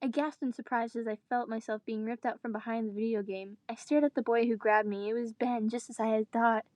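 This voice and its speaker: Girl w high voice reading fanfic out loud dramatically, jokey asides, very dry sound. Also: fairly even intonation, fairly quick, no echo. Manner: breaks character to groan and joke. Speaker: reader of fan fiction.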